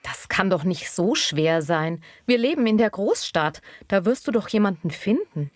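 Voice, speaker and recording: An actress plays an encouraging, curious female friend in a language-lesson dialogue. Her intonation moves up and down freely and she articulates clearly. The sound is close and dry. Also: medium pitch, sing-song, moderate pace, no echo. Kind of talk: scripted language-learning dialogue, clearly enunciated.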